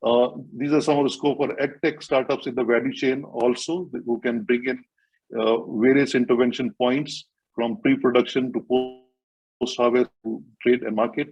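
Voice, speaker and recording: A man presents at an online workshop, stopping often for fillers as he works through his points. His voice is a little husky and mid-pitched. The call audio is dull and muffled. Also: steady tone, moderate pace, darkish tone. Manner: hesitant, many fillers. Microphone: video call.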